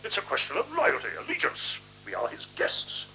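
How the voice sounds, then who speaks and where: Commanding interrogator, 1970s radio drama; bright, forceful, wildly varied pitch, clipped and formal, humming old recording. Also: male voice, huge pitch swings, normal speed, a little hiss or hum, exaggerated voice, poor audio. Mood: scornful. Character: menacing, sardonic leader interrogating and condemning a traitor.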